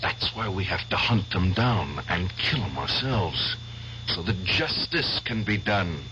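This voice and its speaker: A man's voice: sits low, sing-song, measured pace, noisy background. An actor playing a haunted male war veteran bent on revenge, intense and insistent, in a 1970s radio drama. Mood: bitter. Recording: old lo-fi radio broadcast.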